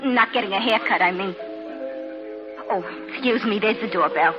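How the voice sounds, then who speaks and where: A woman's voice: mid-range voice, moderate pace, natural voice. A woman playing a placating, easily flustered young hostess in a 1950s radio drama. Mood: admiring, sociable. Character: fond, flustered young woman hostess courted by two men. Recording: muffled vintage radio, music behind.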